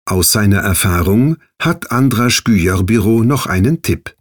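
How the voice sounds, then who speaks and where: A man's voice: lowish voice, expressive, a little slow, no room sound, studio quality. A man reading scripted narration and exercise instructions for learners in a formal, instructive tone.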